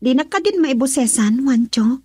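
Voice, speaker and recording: An actress playing a guarded, testy woman with a slightly husky voice in a radio drama. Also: sits high, animated, moderate pace, dark tone, no echo, clean studio sound.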